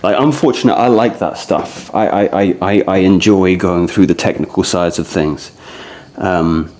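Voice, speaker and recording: Low-voiced man in his thirties, rambling casually. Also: unhurried, a little mellow. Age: early 30s. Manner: discursive, conversational, addresses listeners directly. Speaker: fitness vlogger leading a core routine. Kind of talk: casual rambling talk to camera.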